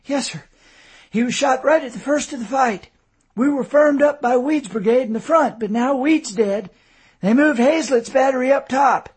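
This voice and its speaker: Breathless sergeant, raised pitch, pressing, read by a man. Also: normal speed, breathy edge. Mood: alarmed. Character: breathless male sergeant bringing bad news.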